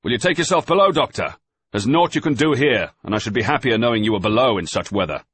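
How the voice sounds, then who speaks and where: A ship's sailing master yelling through a gale, his voice pushed high and hard with command and urgency, as a male reader acts him out. He sounds husky and close, with no room around him. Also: lively intonation, fast talker, no echo. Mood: shouting urgently. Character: sailing master shouting over a storm, man.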